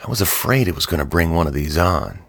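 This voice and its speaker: A man reading an audiobook, voicing a nervous, eager-to-please woman in her mid-thirties with a soft, anxious manner. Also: low voice, lively intonation, quick pace, creaky, slightly rough, dry recording, heavily acted. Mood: worried. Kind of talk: audiobook character voice.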